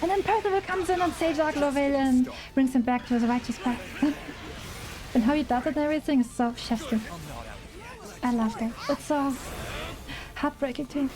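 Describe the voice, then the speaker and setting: A woman's voice: high-pitched, normal speed, long pauses, low-quality recording. A woman from Germany with a German accent chats casually as a game streamer, in an informal, giggly and enthusiastic way. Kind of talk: casual chatty livestream commentary. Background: music.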